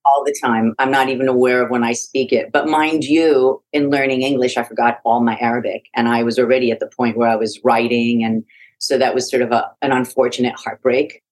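A woman's voice: very deep voice, fairly even intonation, brisk, low-quality recording. A middle-aged woman from Iraq, a podcast guest telling her life story in a frank, reflective way with frequent restarts. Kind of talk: conversational interview.

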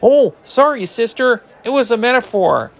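A man's voice: extremely high pitch, animated, moderate pace. A man playing an eager, bashful but brash ninth-grade boy in an audio drama. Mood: eager, sheepish.

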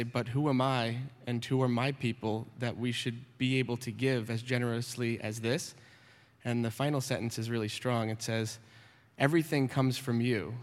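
Guy sharing his testimony in church, even mid voice, hall reverb. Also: medium pitch. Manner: relaxed, reads scripture steadily. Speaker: church member giving testimony. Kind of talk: testimony with scripture reading. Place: church sanctuary.

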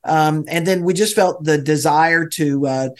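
Higher-voiced American man, halting delivery. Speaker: interview guest, volunteer church ministry leader. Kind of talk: conversational interview answers.

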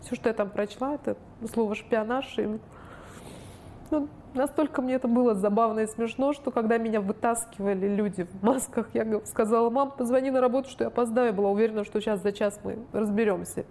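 A woman's voice: on the high side, brisk, warm tone, close to an everyday voice. A woman giving a first-person recollection of a personal ordeal. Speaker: reader of interview testimony. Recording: faint background noise.

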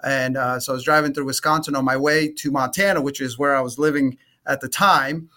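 A man in his forties, a guest on an interview podcast, answering earnestly with halting restarts. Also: medium pitch, fairly even intonation, pushed and bright. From Romania, raised in Atlanta. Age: 40s.

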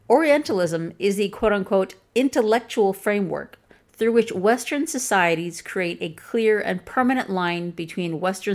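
A woman with a plain, flat Chicago accent delivers scripted commentary. Her tone is wry and pointed, and she lets the dry humor sit inside an even, mid-pitched voice. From Chicago, USA. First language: English. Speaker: video essayist.

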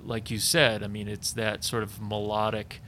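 A man's voice: lowish voice, flat delivery, moderate pace, sharp tone, slight background noise. A man hosting a conversation, asking searching questions with frequent restarts. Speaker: interviewer host. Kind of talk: casual chat.

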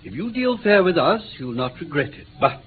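Unctuous middle-aged male villain, fairly high voice, swooping intonation, tinny vintage radio drama. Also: sing-song, normal speed. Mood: oily, sinister. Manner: oily, coaxing, repetitive politeness. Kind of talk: mid-20th-century British radio play acting. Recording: tinny, slightly noisy old radio recording.

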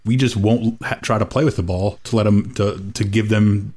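A man breaking down a soccer match on a podcast in a wry, rambling way, with plenty of fillers. His voice is on the low side. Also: fairly even intonation, moderate pace, darkish tone. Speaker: podcast co-host. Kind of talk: casual soccer podcast chat.

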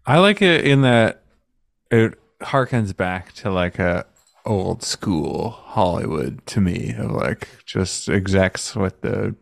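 Man, low gravelly voice, wry and joking, casual chat. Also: animated, slow pace, no room sound, studio quality. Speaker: podcast co-host.

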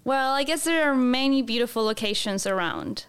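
Woman with a clear, smooth voice. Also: on the high side, lively intonation, normal speed, a bit bright. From Chile. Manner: chatty, many fillers and restarts, laughs often. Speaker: photographer and guest. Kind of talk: casual interview.

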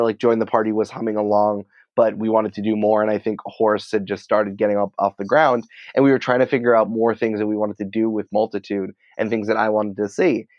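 A man's voice: on the low side, no echo, lo-fi sound. A man speaking as a podcast guest in a casual, emphatic, opinionated conversational way. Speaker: podcast producer and writer, interview guest.

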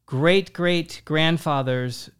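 A man's voice: fairly high pitch, extremely slow, a bit bright, smooth. A man hosting a casual podcast interview, rambling and making self-mocking jokes. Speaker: podcast host.

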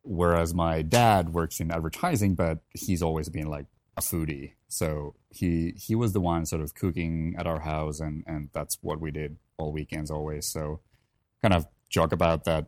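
A man in his twenties from Finland, telling his story in a relaxed, chatty way as a podcast guest. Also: extremely low pitch, moderate pace, no echo. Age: 20s. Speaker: podcast guest, coffee professional. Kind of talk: casual interview storytelling.

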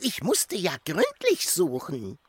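A woman voicing a small, naive, curious creature in a children's audio play, with a husky, drawling, playful delivery. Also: fairly low pitch, wildly swooping pitch, takes it slowly, exaggerated voice.

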